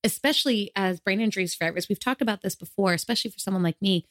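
A woman co-hosting a podcast in casual chat, easygoing and quick to agree and laugh. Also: medium pitch, no echo, studio quality. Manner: easygoing, affirming, laughs often. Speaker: podcast co-host.

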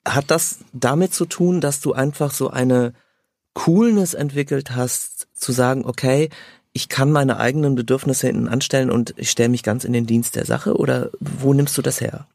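A man's voice: medium pitch, fairly even intonation, normal speed, creaky, a little mellow, dry recording. Casual male interviewer, dry studio sound.

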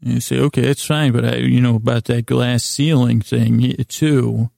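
A man with a creaky, gravelly voice hosting a sleep podcast, meandering and halting as he talks, often stammering and repeating his words. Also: mid-range voice, fairly even intonation, dark tone, dry recording, studio quality. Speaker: podcast host. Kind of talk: rambling sleep podcast monologue.

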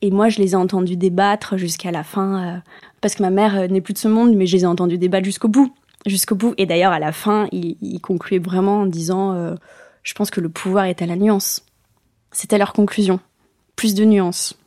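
A woman's voice: mid-range voice, steady tone, moderate pace, warm tone, no echo, clean studio sound. A middle-aged woman from France talking reflectively as an interview guest, with many hesitations. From France, born in Bayonne. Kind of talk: casual interview talk.

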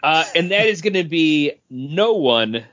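High-pitched, animated man, casual podcast chat. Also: unhurried. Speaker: podcast co-host. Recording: dull, lo-fi podcast audio.

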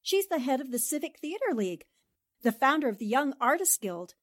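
Woman doing an old-time radio girl reporter, high lively voice, eager and polite, dry close sound. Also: fairly quick, no room sound. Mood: curious. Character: quick-witted woman newspaper reporter. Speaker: newspaper reporter. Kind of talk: 1940s radio drama acting.

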